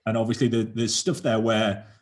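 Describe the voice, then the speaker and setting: A man's voice: on the low side, flat delivery, moderate pace, a little hiss or hum. A man hosting a podcast, asking long, rambling questions with frequent restarts. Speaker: podcast host. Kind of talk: interview questions, casual chat.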